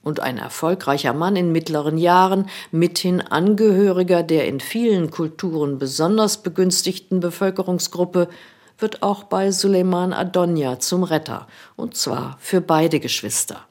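Woman radio critic, low register, measured scripted read. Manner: calm, measured reading. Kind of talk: scripted radio book review.

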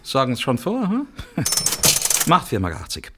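Loud background noise, resentful male shopkeeper, lowish, animated intonation, clipped film dialogue. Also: takes it slowly. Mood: businesslike, grumbling. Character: sour, resentful male small-town shopkeeper. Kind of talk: naturalistic film acting. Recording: distant film scene, loud noise behind.

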